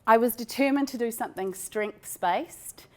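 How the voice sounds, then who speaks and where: A woman's voice: fairly high pitch, moderate pace, a little mellow. A woman with a New Zealand accent presenting research in an informal, conversational academic lecture.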